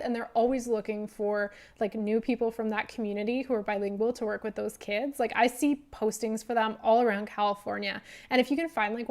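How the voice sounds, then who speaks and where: A young woman hosting a casual livestream Q&A as a wildlife biologist, rambling with lots of "like" and "um", her voice going hoarse. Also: a little high. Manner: rambling, heavy use of "like" and "um". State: losing her voice.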